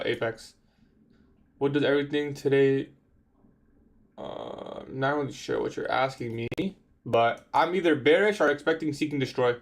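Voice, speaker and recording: A man in his twenties chatting casually on a trading livestream, answering viewer questions in a laid-back, slangy way. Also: mid-range voice. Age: 20s. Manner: laid-back, slangy, says bro a lot. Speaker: livestream trader.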